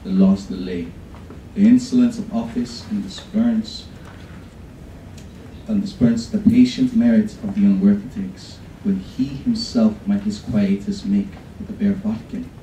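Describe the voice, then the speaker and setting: A young man reciting a classic monologue at a poetry reading contest, solemn and measured, at a podium in a large room. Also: lowish voice, animated, warm tone, recorded from a distance, a little hiss or hum. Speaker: contest reader. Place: large college event room, from far off. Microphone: podium mic, far camera. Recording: echoey room recorded from afar.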